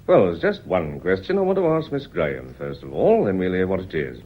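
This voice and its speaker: A shrewd, genial police inspector of some years in a radio drama. His deep voice rises and dips expressively, husky and drily courteous as he probes. The recording is muffled and dated. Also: huge pitch swings, fairly quick, exaggerated voice. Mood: genial, probing. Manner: courteous, probing, drily understated. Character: shrewd, genial male police inspector, older, deferential yet probing.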